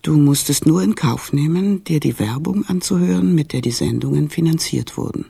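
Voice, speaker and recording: A man with a raspy, creaky voice reading a translated interview as documentary voice-over. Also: a little high, normal speed, warm tone.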